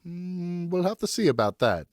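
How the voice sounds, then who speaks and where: A man voicing a gentle, genteel, loving wife and mother in an audiobook story. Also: sits high, very animated, moderate pace, no room sound, studio quality, voice pushed up. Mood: indulgent.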